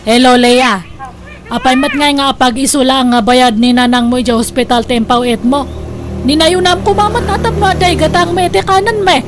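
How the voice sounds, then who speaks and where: A woman with an Ilocano accent playing a scolding, grasping, gossipy middle-aged aunt in a comic radio drama, sharp and nagging. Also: sits high, fairly even intonation, moderate pace, over music, close to an everyday voice. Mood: angry. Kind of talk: comedic radio drama acting. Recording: clear background noise.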